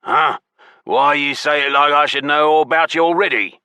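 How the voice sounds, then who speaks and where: A man voicing a foul-mouthed, mocking goblin thief with a deep, hoarse growl and a coarse, slangy drawl. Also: fairly even intonation, moderate pace, pushed and bright, dry recording. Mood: prickly, mocking.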